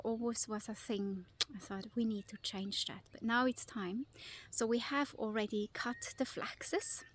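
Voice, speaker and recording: A woman's voice: medium pitch, slight background noise. Chatty Swiss-accented woman outdoors. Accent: Swiss German. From Switzerland. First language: Swiss German. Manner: chatty, informal, with frequent fillers and restarts. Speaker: vlogger, lifestyle property owner. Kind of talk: casual garden tour vlog. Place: outdoors in a garden.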